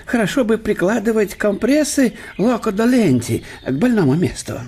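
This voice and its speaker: An actor playing a cheerful, obliging German professor who chuckles and chats in an ingratiating way, with a husky voice, in a radio drama. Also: male voice, high voice, big pitch swings, moderate pace, dark tone, heavily acted. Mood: jovial.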